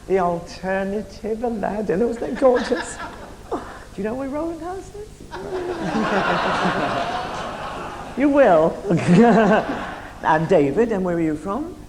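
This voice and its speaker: A man's voice: sits high, sing-song, slow pace, pauses often, roomy sound, a little hiss or hum, exaggerated voice. A man playing a saucy, teasing older pantomime dame, camp and theatrical, bantering bawdily from the stage. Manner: camp, innuendo-laden, theatrical. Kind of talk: bawdy stage banter with audience volunteers. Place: theatre stage before an audience.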